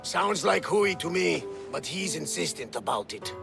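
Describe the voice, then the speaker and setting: A man playing a gruff, skeptical travelling companion in an ancient world video game, teasing and doubtful. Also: fairly high pitch, animated, a little slow, exaggerated voice. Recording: loud music behind.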